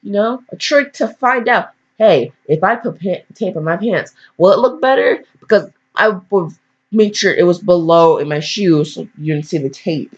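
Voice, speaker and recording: A young female in her teens with a lowish voice that swings up and down in pitch. She reads aloud casually and keeps wandering into goofy, self-deprecating commentary. The recording is dull and lo-fi. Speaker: fan fiction reader. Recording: dull lo-fi microphone.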